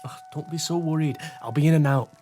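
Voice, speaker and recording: A man's voice: a little high, quick pace, mellow, faint music behind, close to an everyday voice. Man playing an earnest London working-class lad. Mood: eager, cheerful. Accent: English working-class London. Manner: informal, encouraging, eager. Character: earnest, cheeky young working-class Victorian grocer's lad in love. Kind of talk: period radio drama acting.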